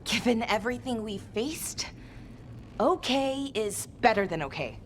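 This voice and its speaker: Gentle, earnest fantasy game heroine, slightly high voice, unhurried delivery, faint noise behind. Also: female voice, expressive. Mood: hesitant, relieved. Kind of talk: scripted fantasy game dialogue.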